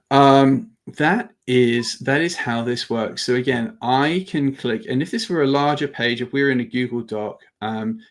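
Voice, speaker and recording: A man with a medium-pitched voice hosts a live software demo. He talks informally and explains step by step. His phrasing is halting, often doubling back on words. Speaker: company presenter and webinar host.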